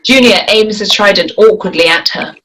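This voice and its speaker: A woman's voice: mid-range voice, normal speed, background noise. A woman reading stage directions as scripted narration for a screenplay.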